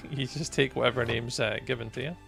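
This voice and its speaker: A Scottish man in his thirties with a softened accent, rambling casually on a live gaming stream with many ums and restarts. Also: mid-range voice, fairly even intonation, moderate pace, gravelly, music in the background. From Scotland.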